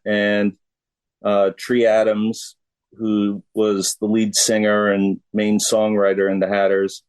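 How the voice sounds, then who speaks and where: A middle-aged man from the United States talks as an interview guest. His voice is fairly low, and he speaks very slowly and haltingly, in a casual conversational style. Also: darkish tone, clear, resonant tone, rough recording.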